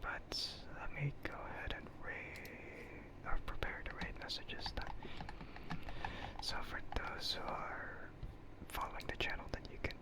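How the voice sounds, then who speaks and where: ASMR livestream host: breathy whisper, unhurried, hesitant and self-deprecating, casual rambling chat. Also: poor audio.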